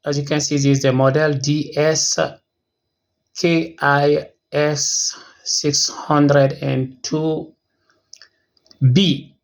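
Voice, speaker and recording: An unboxing host giving a casual product walkthrough in short, simple, clipped phrases, at a medium pitch. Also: flat delivery, very slow. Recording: noisy, with clicks and crinkling packaging.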